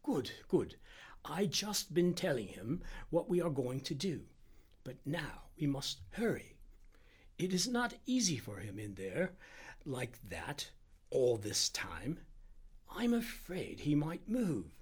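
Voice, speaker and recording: A man voicing an anxious, precise little Indian doctor in an audiobook, speaking in an urgent and deliberate way. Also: on the high side, big pitch swings, exaggerated voice. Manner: urgent, halting, deliberate, breathy at times. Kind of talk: audiobook character dialogue.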